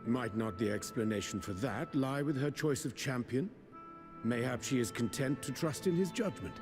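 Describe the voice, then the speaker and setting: A male actor as a courtly fantasy game scholar. He has a medium-pitched voice and an ornate, old-world formality, with clear music behind. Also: animated, normal speed, natural voice. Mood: reflective. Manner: archaic, ornate formal phrasing. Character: courtly, archaic-speaking male scholar, trusting and reflective. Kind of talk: scripted fantasy game dialogue.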